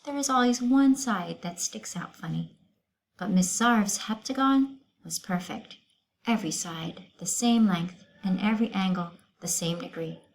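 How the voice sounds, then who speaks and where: A woman reading a children's story aloud, expressive and pausing to put questions to her listeners. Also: lowish voice, gravelly, rough recording.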